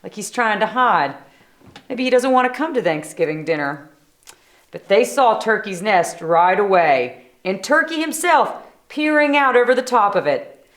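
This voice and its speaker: Woman reading a storybook with very lively intonation. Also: medium pitch, moderate pace. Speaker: storybook reader. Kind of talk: animated children's read-aloud with brief asides.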